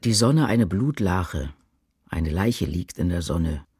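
A man performing dramatic poetry in a radio play as a grave witness of war, with intense, deliberate declamation. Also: low-pitched, lively intonation, a little mellow, husky, no echo, studio quality, full character voice. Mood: stark. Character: grave male poetic witness of war and apocalypse.

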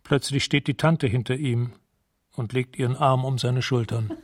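A man narrating a radio drama in a measured, detached, matter-of-fact way, as if describing scenes. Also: mid-range voice, fairly even intonation, no room sound, studio quality, natural voice. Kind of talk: scripted present-tense radio drama narration.

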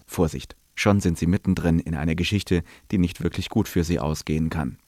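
A man's voice: low-pitched, a little fast, a little mellow, a little hiss or hum. A man presenting on radio, reading a scripted programme introduction and addressing the listener directly.

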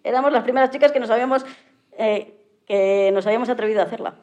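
A slightly lo-fi recording carries a woman's soft-toned voice. She speaks with a Spain accent as a guest in a casual interview. Her delivery is plain-spoken and direct, with a mellow edge. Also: a little high, quick pace. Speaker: interview guest, adaptive sports athlete. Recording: slightly dull lo-fi audio.

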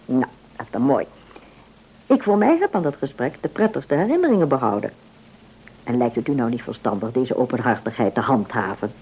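Tactful boss's wife, radio play: mellow tone, very lively intonation, deliberate, courteous, quietly in control. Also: female voice, extremely low pitch, slightly rough, full character voice, low-quality recording. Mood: gently probing. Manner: polite, deliberate, gently probing. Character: tactful, probing, self-assured wife of a shop owner. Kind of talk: naturalistic radio play acting.

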